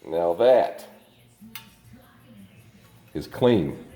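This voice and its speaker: A man's voice: low voice, animated, warm tone. Guy mechanic with a cold, kinda stuffed up, taking his time explaining the fix, faint music behind. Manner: plain, unhurried how-to explaining. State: congested with a slight cold. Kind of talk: step-by-step repair tutorial. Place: auto repair shop.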